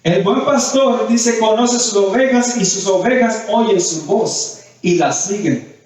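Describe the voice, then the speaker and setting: Male preacher, high-pitched voice, didactic sermon delivery with repeated phrases; very reverberant, distant-miked sound. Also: slow, a little mellow. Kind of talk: sermon with scripture readings and questions to the congregation. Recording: very echoey hall, distant mic.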